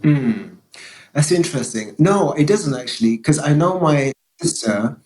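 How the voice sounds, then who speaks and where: A man guesting on a podcast, talking in a relaxed, reflective way and explaining with examples and frequent check-ins with the listener. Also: fairly high pitch, measured pace. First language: English. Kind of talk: conversational interview.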